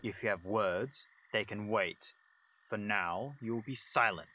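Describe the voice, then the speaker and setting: A man's voice: on the low side, a little slow, bright, forceful tone, a little hiss or hum, low-quality recording. A man playing a curt, dutiful castle guard in an audio drama, giving formal, clipped, commanding speech. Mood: stern, dutiful.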